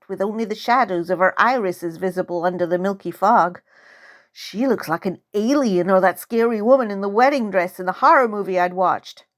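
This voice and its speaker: A woman narrating a first-person story as its author, in a dramatic, suspenseful style. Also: medium pitch. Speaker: author reading own novel.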